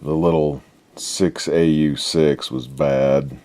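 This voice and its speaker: Very deep, slow-talking, laid-back man. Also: steady tone, warm tone. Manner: laid-back, folksy, halting, trailing off. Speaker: radio restoration hobbyist presenting a repair update. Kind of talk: casual unscripted workbench demonstration.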